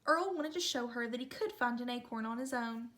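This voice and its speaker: A woman giving an expressive read-aloud narration of a children's storybook. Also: on the high side, fairly quick. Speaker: storybook reader.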